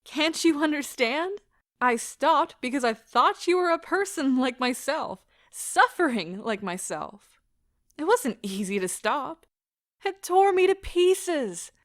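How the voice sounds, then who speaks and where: A woman playing an anguished, pleading lover in a stage-style audio drama, with a theatrical, impassioned and deliberate delivery. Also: high voice, dry recording, clean studio sound. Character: anguished, pleading woman leaving a broken love affair.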